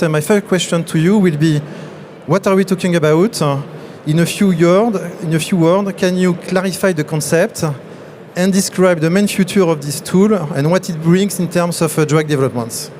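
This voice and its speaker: A man moderating a conference panel on stage, halting with many fillers and restarts. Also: high-pitched, a little mellow, a little hiss or hum. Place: large exhibition hall on stage.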